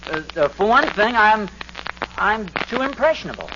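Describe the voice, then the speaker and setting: A man's voice: high-pitched, very animated, moderate pace. An American man acting a self-important, evasive suburban husband in an old-time radio sitcom, pompous and blustering, on a noisy, muffled recording. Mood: flustered, evasive. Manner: pompous, blustering, stammering when cornered.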